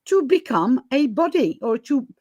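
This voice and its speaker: A woman's voice: sits high, big pitch swings, normal speed, mellow, no room sound, lo-fi sound. A woman podcast host talking candidly and casually, emphatic and given to chuckling as she goes.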